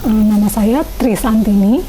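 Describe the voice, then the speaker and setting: Mellow middle-aged woman. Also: medium pitch, unhurried, a little hiss or hum, poor audio. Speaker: job interview candidate. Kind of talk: self-introduction and interview answers.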